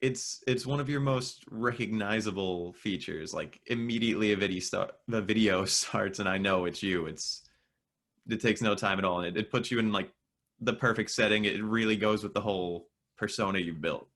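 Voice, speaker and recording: A man's voice: fairly low pitch, moderate pace, fairly bright tone. A man interviewing a guest, asking friendly questions with frequent restarts. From United States. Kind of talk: casual interview.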